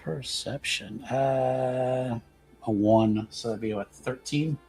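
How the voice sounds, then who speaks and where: A man plays a tabletop roleplaying game with a mid-pitched voice. He narrates casually and haltingly, thinking out loud with a joking streak. Music plays behind him. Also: gravelly. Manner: halting, thinking aloud, jokey.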